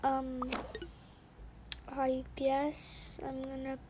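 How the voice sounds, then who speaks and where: A woman's voice: high voice, completely flat delivery, very slow. A woman voicing a put-upon little girl in a toy-game roleplay, hesitant and mildly whiny. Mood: hesitant, grumbling. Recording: noisy home recording with clicks.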